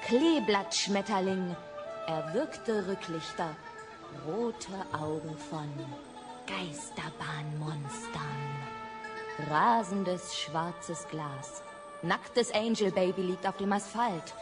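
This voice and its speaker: An actress playing a fiery, dramatic young actress in a German radio crime drama. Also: female voice, fairly low pitch, slow. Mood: brooding, poetic. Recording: studio radio play with music behind.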